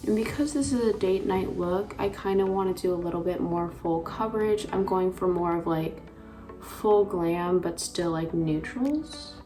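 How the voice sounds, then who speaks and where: A young woman with a raspy voice, chatting casually through a makeup tutorial with lots of filler words. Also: lowish voice, normal speed, dark tone, soft background music. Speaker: beauty vlogger.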